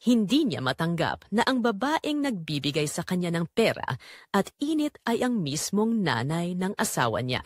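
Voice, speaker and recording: A woman's voice: lowish voice, big pitch swings, moderate pace, no room sound, clean studio sound. A woman giving a dramatic, scripted first-person narration as the storyteller of an audio drama.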